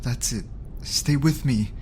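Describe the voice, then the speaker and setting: A man's voice: mid-range voice, slow, lightly acted. A man playing an urgent, caring field medic in an audio roleplay, pleading and commanding. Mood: tense, pressing. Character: urgent, caring male field medic fighting to save a patient. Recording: clearly noisy, ambience behind voice.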